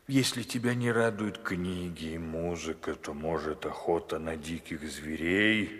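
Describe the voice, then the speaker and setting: An actor playing a deferential, eager vizier with courtly, fawning speech in an audio fairy-tale drama. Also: male voice, deep voice, bright, forceful tone, exaggerated voice. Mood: solicitous. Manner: courtly, ornate, fawning address. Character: deferential, eager vizier serving a young caliph. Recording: long hall reverb.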